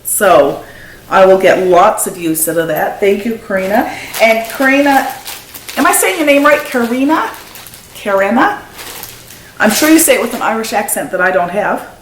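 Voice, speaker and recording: A woman's voice: mid-range voice, expressive, normal speed. A middle-aged woman from Newfoundland with a Newfoundland accent, hosting a knitting podcast and chatting enthusiastically as she shows off craft supplies at home. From Newfoundland and Labrador, Canada. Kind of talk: casual show-and-tell chat. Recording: echoey room with faint noise.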